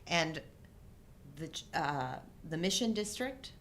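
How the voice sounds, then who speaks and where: A woman's voice: low-pitched, lively intonation, slow, a little hiss or hum. A middle-aged woman co-hosting a live show in casual, playful, agreeable banter.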